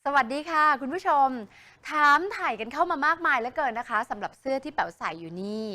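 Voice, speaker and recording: A woman's voice: high voice, big pitch swings, fast talker, bright, forceful tone. A woman presenting to television viewers as an entertainment show host.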